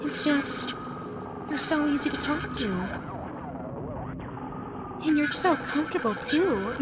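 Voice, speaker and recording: A woman's voice: high voice, slow. A woman voicing a playful, lonely female animatronic fox in a roleplay audio drama, chatty, coy and teasing as she talks to the listener. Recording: loud steady background noise.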